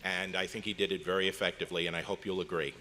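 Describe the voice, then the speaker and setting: A man's voice: low-pitched, little pitch movement, rapid-fire, sharp tone. A man giving an introductory talk to a live audience over a microphone. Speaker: club member introducing a radio play recreation. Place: hotel convention meeting room, indoors. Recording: echoey hotel meeting hall, noisy background.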